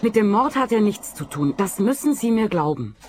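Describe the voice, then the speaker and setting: A woman's voice: mid-range voice, sing-song, normal speed, darkish tone, faint music behind, close to an everyday voice. An actress playing a guarded, insistent woman entangled in a crime in a detective radio play, earnest and defensive.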